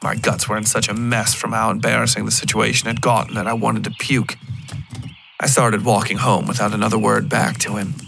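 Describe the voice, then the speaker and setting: A man narrating a scripted first-person story in a measured, literary storytelling style. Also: fairly low pitch, level tone, creaky, noisy background. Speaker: fiction podcast narrator.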